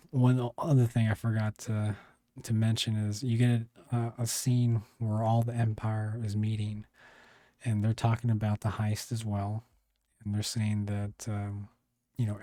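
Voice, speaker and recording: A man with a hoarse, failing voice reviewing a TV episode in a casual, rambling way, often clearing his throat. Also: on the low side, warm tone, no echo, studio quality. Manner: rambling, many fillers and restarts. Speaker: video reviewer.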